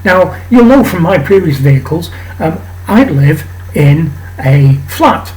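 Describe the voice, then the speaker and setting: A man from England with a raspy voice today, hosting a rambling casual monologue to camera with many ums and restarts. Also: on the high side, animated, slow, a little mellow, low-quality recording. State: raspy voice, coughing. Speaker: channel host. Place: home flat.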